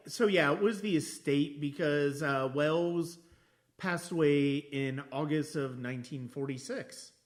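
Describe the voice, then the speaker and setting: A man hosting a casual film discussion, thinking aloud in a halting way and repeating phrases. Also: fairly high pitch. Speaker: podcast host.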